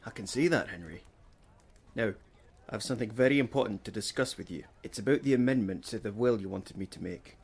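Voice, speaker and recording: Man playing a low-voiced, courteous Victorian lawyer. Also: darkish tone, breathy edge. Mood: earnest. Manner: measured, earnest, courteous. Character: kindly, concerned, proper Victorian gentleman lawyer. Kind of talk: period stage drama acting.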